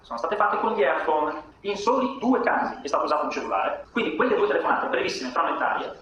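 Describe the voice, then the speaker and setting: A man's voice: high-pitched, animated, quick pace, fairly bright tone. A man explaining a point argumentatively, recorded in a very reverberant, noisy space. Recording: very echoey, noisy room recording.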